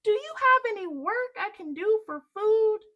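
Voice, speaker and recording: A woman's voice: very high-pitched, animated, measured pace, muffled recording, pitched above the performer's own voice. A woman voicing a naive, eager young boy in a children's storybook. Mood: polite, calling out. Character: Jack, a naive, eager, kind-hearted boy.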